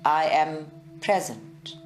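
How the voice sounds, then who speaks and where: Slow, low-voiced meditation guide, woman. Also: lively intonation, slight background noise. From South Africa. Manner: slow, measured, soothing delivery with long pauses. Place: group meditation session at a centre, recorded live.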